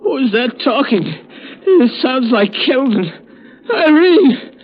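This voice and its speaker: A man's voice: very high voice, expressive, slow, heavily acted. An actor playing an exhausted, delirious trapped man in an old radio drama, dazed and anguished in a melodramatic way. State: exhausted, weak. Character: weak, delirious male victim trapped in a tomb. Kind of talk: 1930s-40s radio drama acting. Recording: echoing, phone-like old radio recording.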